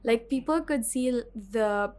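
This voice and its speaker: A woman's voice: a little high, unhurried, darkish tone. A young woman from the Philippines, a student whose first language is Filipino, chatting casually in an interview with lots of "like" fillers. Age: young adult. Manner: chatty, with many "like" fillers and light laughs. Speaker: guest student.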